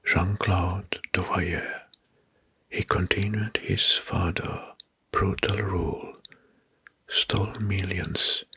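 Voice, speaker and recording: A man narrating facts as an ASMR host in a hushed, breathy whisper, deliberately repeating phrases. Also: deep voice. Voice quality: breathy, mostly unvoiced whisper.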